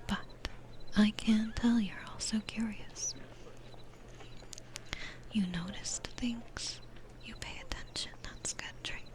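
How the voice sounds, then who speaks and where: A woman voicing a sweet, gentle demon florist in an ASMR roleplay, speaking in a soothing, breathy whisper with unhurried pauses. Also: medium pitch, close to an everyday voice.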